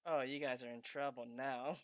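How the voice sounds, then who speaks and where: A game streamer giving casual, reactive live commentary in an informal, halting way. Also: medium pitch, fairly even intonation, noisy background.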